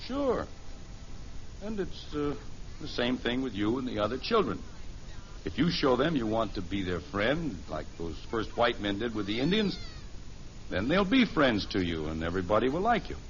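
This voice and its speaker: An actor playing a kindly middle-aged American father in a 1950s radio sitcom, gentle, folksy and patient as he plays along with a child. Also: mid-range voice, very animated, normal speed, close to an everyday voice. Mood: gentle, reassuring. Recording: muffled, hissy vintage radio recording.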